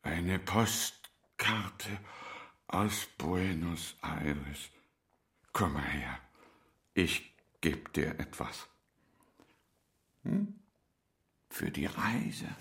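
An actor playing an old tailor, grumpy yet dreamy, in a slow, theatrical manner for a children's radio play. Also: male voice, low voice, expressive, a bit bright, full character voice. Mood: kindly, instructive. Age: older. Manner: theatrical, slow, repeating himself.

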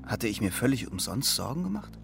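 A man's voice: medium pitch, big pitch swings, brisk, creaky, background noise. An actor playing an uneasy Cold War double agent who tells his own story in a crime radio play. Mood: brooding, tense. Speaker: actor, narrator and lead role. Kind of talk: first-person crime radio play narration.

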